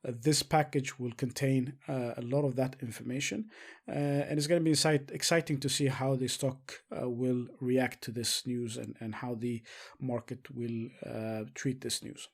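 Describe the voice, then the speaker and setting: A man presenting investing commentary as a show host, speaking haltingly with frequent filled pauses. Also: mid-range voice, fairly even intonation, creaky. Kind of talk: semi-scripted stock market commentary.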